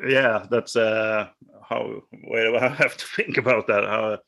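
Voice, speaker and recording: A man's voice: lowish voice, steady tone, gravelly, pushed and bright. Swedish man, podcast host, non-native English, hesitant and laughing, over a call. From Sweden. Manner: halting, many fillers and restarts, laughs often. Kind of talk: casual chat. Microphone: remote call.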